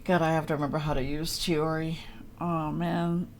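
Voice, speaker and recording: A woman's voice: low-pitched, flat delivery, moderate pace, a little mellow, a little hiss or hum. A woman livestreaming a video game, chatting casually with viewers in a playful, exclamatory way and muttering to herself as she plays. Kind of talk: casual gaming chat with viewers, reacting to play.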